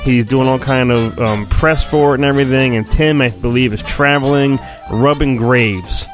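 A man's voice: mid-range voice, moderate pace, soft background music. A middle-aged man hosting a solo podcast, chatting casually and reading aloud with a joking, self-deprecating manner. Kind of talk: casual rambling solo podcast talk with mock dramatic storybook reading.

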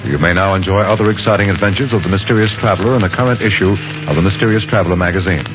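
Low-voiced vintage American radio announcer. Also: male voice, rapid-fire, music in the background. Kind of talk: formal 1950s radio announcing. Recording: muffled, noisy 1950s radio recording.

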